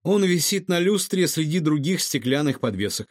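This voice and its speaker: A man voicing a kind, gentle old professor who speaks softly and mildly. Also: on the high side, expressive, moderate pace, dry recording, clean studio sound, voice pushed up. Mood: calm. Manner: soft, mild.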